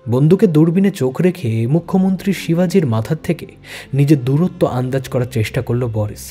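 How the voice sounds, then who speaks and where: A man giving a dramatic scripted narration of a suspense story, with deliberate phrasing. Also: a little high, dark tone. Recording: long reverb, background music.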